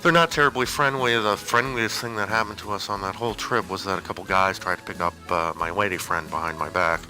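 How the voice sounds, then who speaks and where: A man's voice: sits low, fairly even intonation, fast talker, bright, forceful tone, soft background music, rough recording. A man giving an informal, rambling personal monologue with a hesitant, digressive and wry way of talking. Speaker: storyteller on an audio zine.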